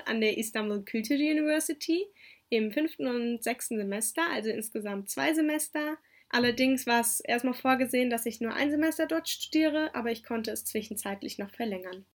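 A young woman whose first language is Turkish, calmly giving orderly interview answers about her semesters abroad. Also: a little high, moderate pace. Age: young adult. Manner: calm, orderly, explanatory. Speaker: exchange student interviewee.

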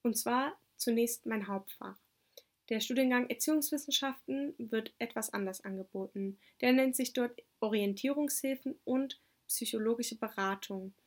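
A young woman whose first language is Turkish answers interview questions in a calm, orderly way. Her voice sits a little high. She explains things step by step. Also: moderate pace. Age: young adult. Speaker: exchange student interviewee. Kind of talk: interview answers about studying abroad.

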